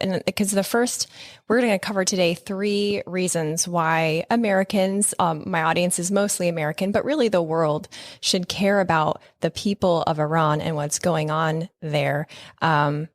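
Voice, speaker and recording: A woman with a slightly low voice hosts a podcast in relaxed, casual chat. She talks conversationally, throws in quick asides and laughs along. Her voice is recorded close and dry. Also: no echo. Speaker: podcast host.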